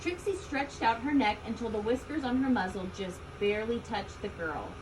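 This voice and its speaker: A woman reading a children's story aloud, expressively, with deliberate emphasis on key words. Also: fairly high pitch, expressive. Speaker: storybook reader. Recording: distant mic, loud background ambient noise.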